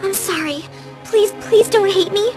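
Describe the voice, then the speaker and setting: A woman's voice: very high-pitched, unhurried, darkish tone, soft background music. A woman voicing an earnest, modest and studious young female unicorn in a cartoon, explaining things carefully. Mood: apologetic. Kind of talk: animated cartoon acting.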